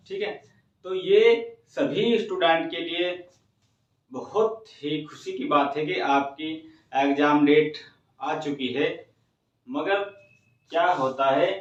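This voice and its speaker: Echoey, slow-talking man. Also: fairly high pitch. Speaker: exam coaching presenter. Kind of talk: informal explanatory exam update for students. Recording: muffled, echoey room, distant microphone.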